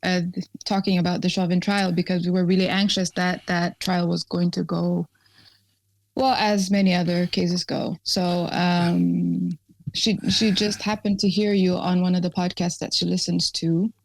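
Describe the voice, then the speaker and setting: Gravelly woman, halting and thoughtful. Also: on the low side, flat delivery, no room sound, low-quality recording. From Ethiopia. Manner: hesitant, many ums and restarts. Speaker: activist guest. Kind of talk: casual interview conversation.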